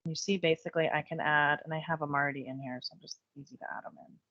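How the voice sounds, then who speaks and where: A woman giving a chatty step-by-step software tutorial while losing her voice. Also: sits low, steady tone, fast talker, no room sound, dull audio. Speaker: real estate software trainer. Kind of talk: screen-share software tutorial.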